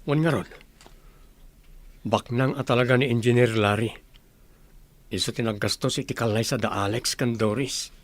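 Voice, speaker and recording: A man's voice: mid-range voice, steady tone, normal speed, pauses often, dry recording, lightly acted. A man playing an admiring, gossipy village wedding guest in an Ilocano radio drama, with an Ilocano accent.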